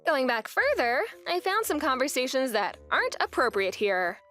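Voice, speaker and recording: Very high, animated young wronged wife acted by a woman. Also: moderate pace, soft background music, exaggerated voice. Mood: shocked. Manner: expressive, indignant. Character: wronged young wife, exasperated yet resolute, telling her story. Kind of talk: scripted manga dub narration.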